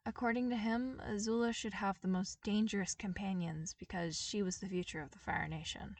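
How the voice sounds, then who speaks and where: A woman's voice: medium pitch, steady tone, normal speed, darkish tone, distant mic, lightly acted. A woman voicing an awkward, anxious teenage boy who is apologetic and wounded, with a nervous, hesitant manner. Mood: guarded, wistful.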